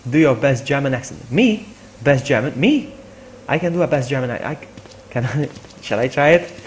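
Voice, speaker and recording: A man streaming a video game, commenting live and chatting with viewers in an excitable, exclamatory way, often repeating his phrases. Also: medium pitch, moderate pace, slight background noise.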